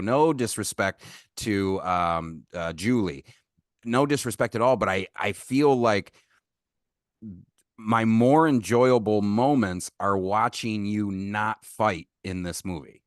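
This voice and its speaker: A man's voice: lowish voice, dry recording, clean studio sound. A man hosting a podcast interview, asking long, winding questions full of restarts and gushing praise. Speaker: podcast host.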